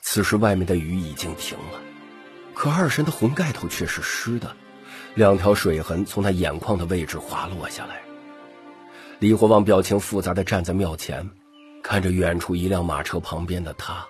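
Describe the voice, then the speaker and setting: 一名男性有声书播讲者，以戏剧化的方式照稿讲述故事。. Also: male voice, sits low, moderate pace, soft background music. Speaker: audiobook narrator. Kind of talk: scripted dramatic story narration.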